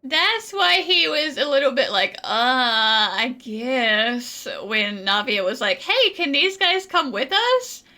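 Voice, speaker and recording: A woman giving casual let's-play commentary, animated and opinionated as she reacts on behalf of the characters. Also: high voice, slow, hardly any pauses. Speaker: gaming streamer.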